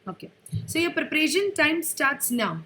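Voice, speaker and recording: A woman with a high voice conducts a speaking test. She reads out questions in a brisk, formal way. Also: very animated, sharp tone, slight background noise.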